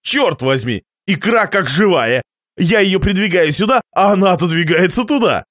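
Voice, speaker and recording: A man's voice: high voice, big pitch swings, unhurried, fairly bright tone, no echo, clean studio sound, heavily acted. A man voicing a jovial, gluttonous guest in a satirical story, pompous and shameless, savouring and lecturing expansively. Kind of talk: dramatised reading of a satirical short story.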